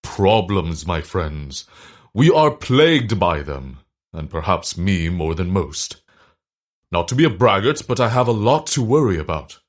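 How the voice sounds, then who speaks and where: An actor playing a grandiose, dramatic gentleman sailor-scientist, declaiming pompously in a comic audio drama. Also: deep voice, animated, a little slow. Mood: grave, melodramatic.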